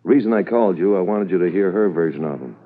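An actor playing a brisk, authoritative police commissioner in a 1950s radio drama, methodical and official. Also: male voice, low voice. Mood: businesslike, briefing. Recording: muffled, thin old radio recording.

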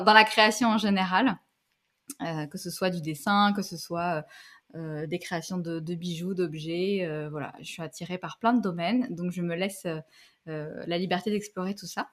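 Woman in her 30s, podcast guest, kinda low voice, rambles and hesitates a lot. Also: moderate pace. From Algeria and Paris, France. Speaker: podcast guest, designer and creator. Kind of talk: casual interview chat.

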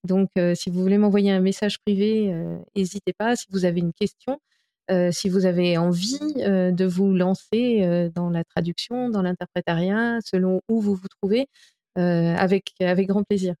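A woman's voice: on the low side, fairly even intonation, normal speed, warm tone. A woman from France, an interpreter, answering interview questions earnestly with many hesitations and restarts. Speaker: interpreter, guest.

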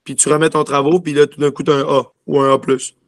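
Young Quebec man with a higher-pitched voice. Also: level tone, quick pace, no room sound, clean studio sound. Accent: Quebec French. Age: young adult. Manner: rambling, peppered with filler words and English words. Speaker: interview guest, junior hockey player. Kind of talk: casual interview chat.

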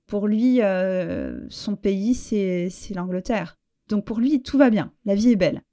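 A middle-aged French woman talks through a personal conversation, her voice mellow and soft-edged. She reflects as she goes, pausing on fillers and starting her sentences again. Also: medium pitch, big pitch swings. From France. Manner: reflective, halting with fillers and restarts. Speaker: interview guest.

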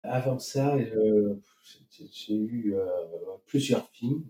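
A man from France gives conversational, explanatory answers as an interview guest, searching for his words. His voice is very dark and relaxed at an average pitch. It sounds echoey and a bit away from the microphone. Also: takes it slowly. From France, Marseille. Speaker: interview guest, company co-founder. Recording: echoey room, distant from mic.

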